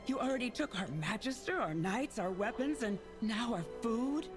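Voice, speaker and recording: A woman's voice: fairly high pitch, expressive, moderate pace, lightly acted. A woman playing an aggrieved, weary elven townswoman in a fantasy video game, clipped and reproachful. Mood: indignant. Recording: loud game music behind.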